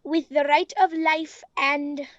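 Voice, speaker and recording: A woman playing an earnest, hopeful young refugee child in a 1930s radio play, speaking halting, careful English. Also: high-pitched, flat delivery, a bit bright, no room sound. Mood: reciting carefully. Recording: dull, dry lo-fi radio recording.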